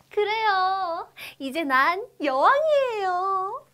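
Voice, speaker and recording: A woman's voice: extremely high pitch, big pitch swings, drawn-out words, bright, forceful tone, heavily acted. Woman playing a haughty, greedy wife, swooping intonation. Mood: gloating. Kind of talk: children's storybook acting.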